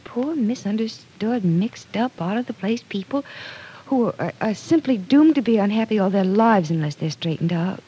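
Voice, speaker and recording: An earnest young woman in a classic radio drama, with a mellow, slightly husky voice. She is stubborn and idealistic, pressing her point with insistence. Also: medium pitch, lively intonation, moderate pace, poor audio. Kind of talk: old-time radio drama acting.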